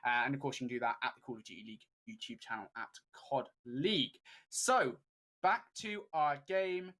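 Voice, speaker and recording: A man's voice: mid-range voice, moderate pace, fairly bright tone. A man casting an esports tournament in a chatty, upbeat way, with many fillers. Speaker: esports caster. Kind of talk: esports commentary.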